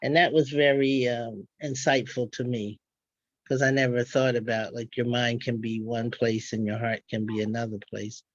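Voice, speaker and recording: A woman's voice: rumbling low, flat delivery, moderate pace, no echo. A woman taking part in a casual discussion, offering her thoughts in a tentative, hesitant manner. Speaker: workshop participant. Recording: dull, dry online call audio.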